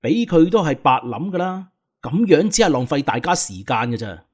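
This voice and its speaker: A man's voice: a little high, very animated, fairly quick, darkish tone, husky. A man playing a hot-tempered young police assistant, scornful and combative, in an audio drama. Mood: sneering. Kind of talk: Cantonese audio drama acting.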